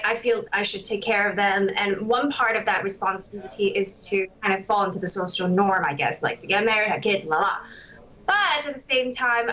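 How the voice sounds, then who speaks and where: A woman's voice: medium pitch, fairly bright tone, muffled recording. A woman in her late twenties, raised in Hong Kong and Canada, talking reflectively in a candid interview with many fillers. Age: 20s.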